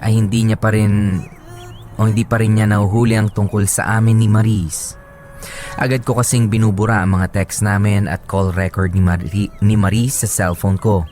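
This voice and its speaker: A male actor as a troubled, cheating young man with a fairly low voice. He confides his secret affair as first-person narration in a radio love drama. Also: level tone, moderate pace, darkish tone, slight background noise, lightly acted. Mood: troubled, confiding. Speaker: story narrator.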